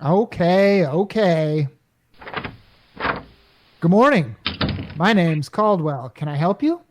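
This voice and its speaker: Wry working-stiff repairman, male actor: high-pitched, casual, cocky old-radio comedy delivery. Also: animated, slow, noisy background. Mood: wisecracking. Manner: wisecracking, casual. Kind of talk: retro radio comedy acting with narration.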